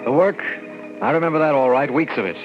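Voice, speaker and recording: An actor playing an earnest young army lieutenant and scientist who recounts events dramatically in an old-time radio drama. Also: male voice, fairly high pitch, fast, natural voice. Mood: haunted, suspenseful. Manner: earnest, dramatic, suspenseful storytelling. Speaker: narrator and lead character. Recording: muffled vintage radio recording, loud music.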